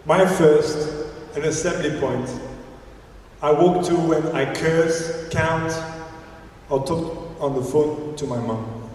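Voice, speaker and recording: A man's voice: fairly high pitch, slow pace, pauses often, a little mellow, a little hiss or hum. A man whose first language is French, a poet and French teacher, reading poems aloud at a live event in a church. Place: large echoey church hall. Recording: echoey church hall, distant mic.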